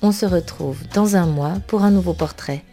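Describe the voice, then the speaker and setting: Mellow-voiced woman interviewer. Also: lowish voice, normal speed, faint music behind. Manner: brief, clear questions. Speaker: interviewer and host. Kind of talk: magazine interview segment.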